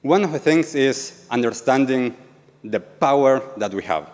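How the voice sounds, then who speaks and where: A man's voice: mid-range voice, lively intonation, measured pace, darkish tone. Argentine man speaking in a reverberant hall. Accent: Argentine Spanish. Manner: earnest, asks the audience questions. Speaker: yoga and meditation teacher. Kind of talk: live talk to an audience. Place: event venue with an audience.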